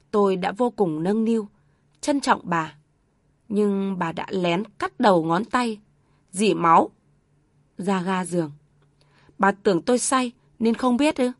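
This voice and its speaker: Elderly ex-soldier father, calm and grieving, read by a woman. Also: mid-range voice, no room sound, studio quality, natural voice. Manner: calm, heavy, measured. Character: elderly father, a former soldier, sorrowful and steady.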